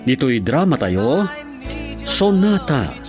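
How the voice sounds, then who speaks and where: A man's voice: mid-range voice, big pitch swings, takes it slowly. A Filipino man giving a dramatic scripted introduction as a radio announcer. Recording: prominent music behind the voice. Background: music.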